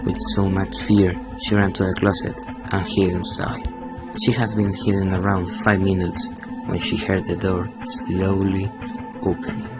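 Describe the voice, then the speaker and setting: Very deep-voiced young Chilean man narrating horror. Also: completely flat delivery, measured pace, low-quality recording. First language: Spanish. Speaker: narrator of a radio play. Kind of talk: dramatic scripted horror narration. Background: prominent music.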